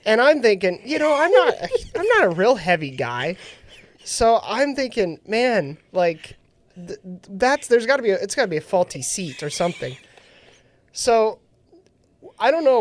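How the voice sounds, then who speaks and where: A man's voice: high voice, big pitch swings, normal speed. A man hosting a casual radio talk show, sounding nasal after being sick, laughing often as he tells a story. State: nasal from recent illness. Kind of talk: casual chat.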